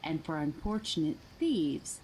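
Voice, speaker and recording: A woman playing an eerie tour guide in a horror audio drama, telling the story in a theatrical, hushed way. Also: low-pitched, very animated, measured pace, a little hiss or hum, poor audio. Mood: ominous. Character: eerie female tour guide of a haunted town.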